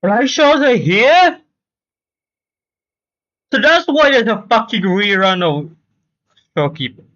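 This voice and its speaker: Man, live game streamer, slow-paced, casual, slangy, exclamatory reactions, muffled lo-fi sound. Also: high-pitched, expressive, a bit bright. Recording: dull, lo-fi microphone sound.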